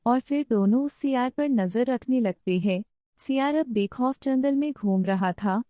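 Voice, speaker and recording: A woman narrator reads a scripted children's fable. Her voice sits fairly high and has a soft, mellow tone. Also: measured pace.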